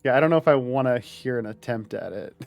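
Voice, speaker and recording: A man's voice: mid-range voice, expressive, quick pace, vocal fry, darkish tone. A man streaming a game, chatting casually with friends, joking and laughing often. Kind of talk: casual gaming chat.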